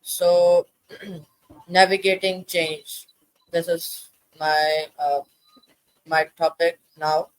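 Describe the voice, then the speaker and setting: A man whose first language is Urdu, hosting an interview and asking questions in slow, simple phrasing. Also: on the high side, level tone, no echo, poor audio. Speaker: interview host.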